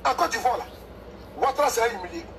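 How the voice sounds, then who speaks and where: A man speaking as a guest on a live stream, hesitating and searching for words before making forceful political predictions. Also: extremely high pitch, lively intonation, fast talker, creaky, background noise. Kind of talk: impassioned political talk.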